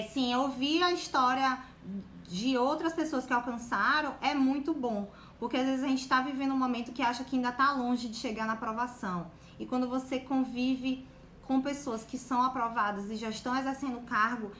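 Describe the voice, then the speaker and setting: A young woman with a Bahian accent speaks in a fairly high voice, encouraging and chatty, checking in with her listeners again and again. She streams live from an echoey room. Faint noise sits under her voice. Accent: Bahian Portuguese. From Bahia, Brazil. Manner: warm, encouraging, full of fillers like "né" and "tá". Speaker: guest on a live stream about exam preparation. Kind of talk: casual motivational talk to a live audience.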